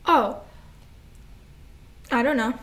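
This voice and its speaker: A woman playing an anxious, confused little girl with a hesitant, halting delivery in a staged drama reading. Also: on the high side, slight background noise. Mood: puzzled, unsure. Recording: roomy, echoing stage recording.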